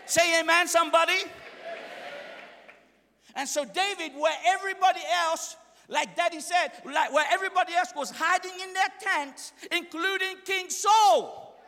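A young man preaching a sermon in a church, speaking English as a second language, fiery and often shouting in call-and-response with the congregation. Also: very high voice, moderate pace. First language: not English. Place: church hall. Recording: echoey church hall reverb.

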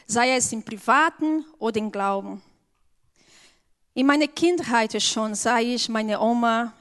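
A woman with a Brazilian accent speaks slowly and earnestly, choosing each word with care. Her voice sits fairly high as she shares a personal testimony before a church congregation. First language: Portuguese. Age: adult with an eleven-year-old daughter. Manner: slow, earnest, careful phrasing.

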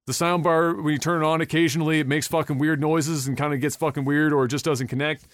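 A man's voice: fairly high pitch, steady tone, fast, no room sound, clean studio sound. A young man hosting a podcast in casual chat, rambling with lots of fillers, restarts and swearing. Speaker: podcast host.

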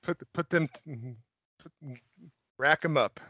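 A man's voice: a little high, slow, bright, forceful tone, no echo, muffled recording. Male host, casual, joking, laughs a lot, dry mic. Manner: joking, laughs often, riffs with co-hosts. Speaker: podcast host. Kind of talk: casual chat.

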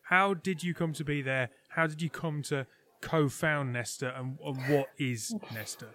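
A man's voice: on the high side, moderate pace, pushed and bright. A man hosting a podcast, bantering jokily with his co-host and then interviewing a guest in a friendly way. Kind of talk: casual podcast chat and interview.

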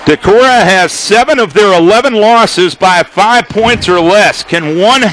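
A man delivers radio basketball play-by-play in a high, bright-pitched voice. He calls the action in rapid bursts that lift into shouts on the big plays. Steady hiss and gym noise sit under his voice. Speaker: play-by-play announcer. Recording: live gym broadcast with crowd noise.

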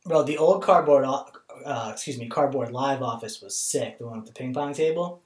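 A man's voice: mid-range voice, moderate pace. A man hosting a podcast, talking in a casual, slangy, laid-back way. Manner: laid-back, slangy, calls people bro. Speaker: podcast host. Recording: roomy echo.

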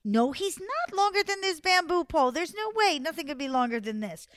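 A woman's voice: sits high, fast, pushed and bright, clear, resonant tone, no echo, studio quality. A woman storyteller voicing a trickster's clever wife, argumentative and emphatic. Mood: contrary. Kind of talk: oral storytelling.

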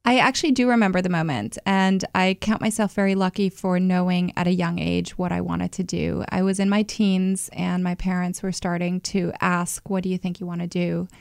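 A woman with a gravelly, fry-laden voice gives conversational interview answers. Her pitch stays quite flat, and the recording is close and dry as she recalls her own experiences. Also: normal speed, hardly any pauses, no room sound. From born in Switzerland, moved to New York. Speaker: interview guest, hotel general manager.

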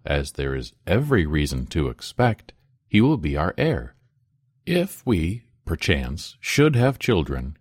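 A man voicing a polite, wealthy gentleman who reasons formally and persuasively in a storybook reading. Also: very deep voice, slightly rough, no echo, clean studio sound, full character voice.

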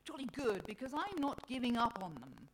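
A woman's voice: a little high, big pitch swings, normal speed, voice pushed up. A woman voicing a flustered, well-meaning male God in a satirical storybook reading, hesitant and earnest with frequent stammers. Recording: clearly noisy live recording.

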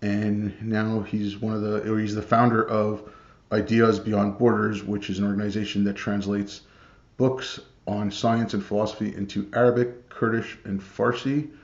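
Male host, lowish voice, echoey room, dull lo-fi audio. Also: level tone, normal speed. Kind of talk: interview introduction.

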